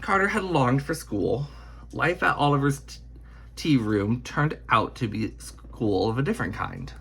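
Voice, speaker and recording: Husky male storyteller with lively intonation. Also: mid-range voice. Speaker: narrator reading a children's picture book biography aloud. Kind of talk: expressive read-aloud storytelling.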